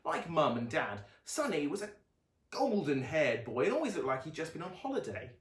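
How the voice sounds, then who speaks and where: A man reading children's fiction aloud as a dramatic, expressive narrator with a deliberate delivery. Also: on the high side, echoey room.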